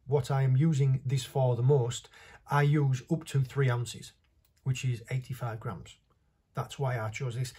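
A middle-aged man with a slightly husky voice, giving an informal, off-the-cuff product review to camera in a small indoor room. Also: mid-range voice, darkish tone. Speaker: fishing vlogger reviewing tackle. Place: small indoor workshop with stone wall.

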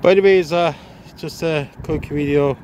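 A man working as an HVAC technician, narrating a casual work video outdoors on a commercial rooftop into a handheld phone, talking haltingly with lots of "uh" fillers. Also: fairly high pitch, steady tone, a little mellow. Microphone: handheld phone camera. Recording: wind noise on the mic.

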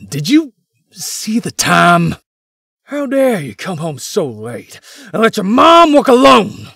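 Casting: male actor as lazy, bitter father who belittles his child, highly animated delivery, visual novel. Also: takes it slowly, vocal fry, slightly rough, no room sound, clean studio sound, full character voice. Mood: scornful, angry. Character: bitter, lazy, scornful middle-aged father.

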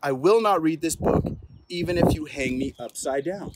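A man's voice: a little high, moderate pace, heavy background noise. A young man reading a children's picture book aloud outdoors in a playful, theatrical way with emphatic build-ups. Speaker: police officer reading at storytime. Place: outdoors on an open terrace.

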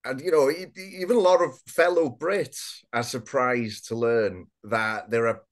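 A man's voice: medium pitch, fairly even intonation, measured pace. A man in his mid-thirties from North Wales, a native Welsh speaker with a mixed northern and southern Welsh accent, chatting casually as an interview guest on a video call. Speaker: guest author. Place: small indoor room, on a video call. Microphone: video call, webcam distance.

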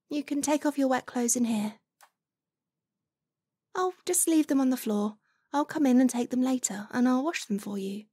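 A woman playing a doting, teasing motherly figure in a soft-spoken ASMR roleplay, coaxing and playful with breathy near-whispers. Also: high voice, dry recording, clean studio sound. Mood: caring.

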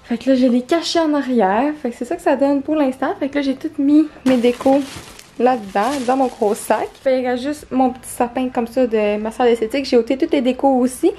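A woman's voice: high voice, a little fast, mellow, slight background noise. A young woman in her twenties with a Quebec French accent, chatting casually as a vlogger, rambling with lots of fillers into a handheld camera. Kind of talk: casual vlog chat. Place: small apartment indoors. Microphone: handheld camera at arm's length.

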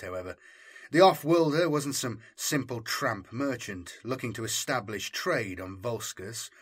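Husky-edged man narrating fiction. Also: mid-range voice, a bit bright. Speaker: audiobook narrator. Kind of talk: scripted dramatic narration of a military science fiction story.

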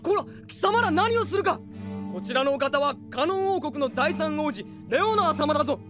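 A woman's voice: high voice, animated, moderate pace, over music. A woman playing an indignant, loyal young attendant in an anime audio drama.

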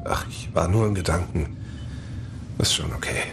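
A man's voice: low voice, normal speed, slight background noise, lightly acted. An actor playing a brooding, earnest young male spaceship captain in a sci-fi audio drama. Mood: subdued. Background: music.

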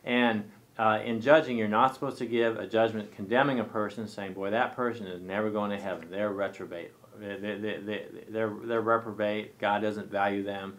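A man in his forties with a fairly low voice co-hosts a Bible discussion in a small studio. He sets out his points in a measured, explanatory way, and his tone stays conversational and steady. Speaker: co-host. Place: small studio set with armchairs.

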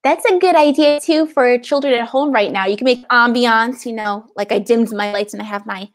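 A woman in her thirties with a slightly high voice hosts an online library chat. She is chatty and upbeat, talking casually through an earphone mic on a video call. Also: normal speed. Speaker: library event host. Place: indoor room, video call. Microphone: earphone headset on video call.

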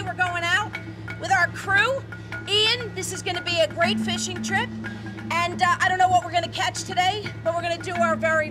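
Casting: middle-aged woman, reality show host, very high bright voice, jokey and loud, recorded out on a boat over loud music. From New Jersey. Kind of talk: reality show chatter to camera. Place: fishing boat on the ocean, outdoors. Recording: music playing loudly under the voice.

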